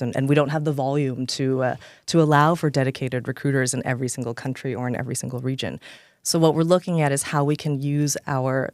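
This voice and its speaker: American woman, podcast guest; smooth, crisp voice, dry close sound. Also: deep voice, a little mellow, dry recording. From Los Angeles, United States. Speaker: head of talent acquisition, guest. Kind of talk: podcast interview.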